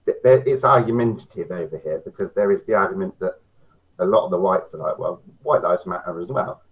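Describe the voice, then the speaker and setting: A man's voice: low voice, brisk. A man talking as a guest in casual chat, often repeating words as he starts. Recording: dull lo-fi sound.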